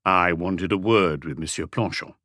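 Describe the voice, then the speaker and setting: A middle-aged male detective in an audiobook read by a man, reserved and evasive. The voice is low and understated, never committing much, recorded dry. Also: moderate pace, sharp tone, no echo. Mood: politely probing.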